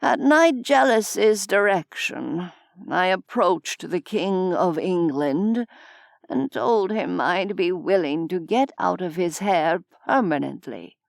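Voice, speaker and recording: Woman voicing a weary old nobleman, low and slow. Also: expressive, gravelly, no echo, clean studio sound, heavily acted. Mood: wry. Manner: slow, measured, dryly self-mocking. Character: weary old male medieval noble, wry. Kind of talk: audiobook character voice.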